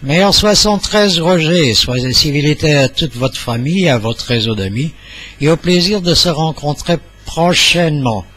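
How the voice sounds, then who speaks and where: A man's voice: on the high side, expressive, a bit bright. Older man, polite radio operator. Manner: formal, polite, halting. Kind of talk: amateur radio contact, courteous exchange. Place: small indoor home radio room. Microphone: studio mic on boom arm, headset.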